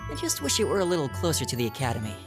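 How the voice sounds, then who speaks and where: Animated, earnest student boy. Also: fairly high pitch, racing, music in the background. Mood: friendly, concerned. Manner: casual, chatty. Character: friendly, earnest, modest teenage student boy. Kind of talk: video game voice acting.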